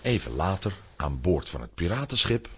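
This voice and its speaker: A man's voice: low-pitched, very animated, normal speed, a little hiss or hum. A man narrating a children's radio play in a scripted, dramatic storytelling style.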